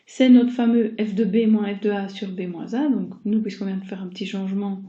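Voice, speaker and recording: A woman's voice: mid-range voice, steady tone, fairly quick, warm tone. A woman giving a recorded math lesson as a teacher, explaining step by step and addressing her students directly. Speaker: mathematics teacher. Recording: dull muffled sound, roomy echo.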